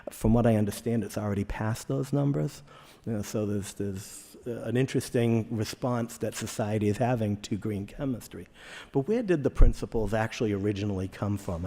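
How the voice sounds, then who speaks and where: A middle-aged man with a Boston accent speaks at a conference in a loose, conversational way, stumbling and repeating words as he goes. His voice sits at an ordinary pitch for a man, and a long hall reverb trails behind it. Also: mellow. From Boston area, Massachusetts. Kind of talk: conference keynote talk.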